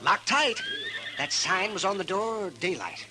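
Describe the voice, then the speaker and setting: Western radio sidekick: sly old doc, high-pitched, swooping, folksy gossip, noisy vintage broadcast. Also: male voice, animated, measured pace. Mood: amused. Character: gleeful, teasing, gossipy old frontier town doctor.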